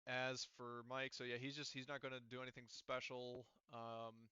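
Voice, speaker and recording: A man acting as game master in an online tabletop session, talking haltingly as he thinks aloud through rules and dice tallies. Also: medium pitch, little pitch movement, pushed and bright, smooth, no room sound, lo-fi sound.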